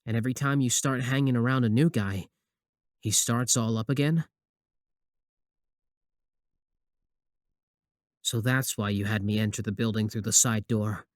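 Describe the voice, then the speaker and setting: A man's voice: mid-range voice, fairly quick, no room sound, clean studio sound, natural voice. A young man acting a possessive, obsessive student admirer in a scripted ASMR roleplay, sweet yet menacing. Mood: simmering anger.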